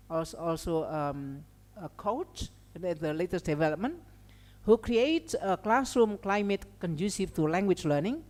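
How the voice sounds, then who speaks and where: Echoey Indonesian-accented woman lecturing, low-pitched. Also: a little mellow. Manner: conversational, repeats check words like okay and right. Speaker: seminar speaker and language educator. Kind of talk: academic lecture with informal asides. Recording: long hall reverb.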